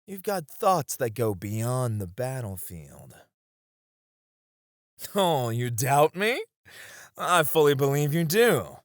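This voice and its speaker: A man's voice: mid-range voice, big pitch swings, takes it slowly, long pauses. A man playing a seasoned knight, a wistful, teasing sparring comrade in a romantic roleplay, with reflective, hesitant phrasing. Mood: teasing, curious. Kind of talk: romantic ASMR roleplay acting.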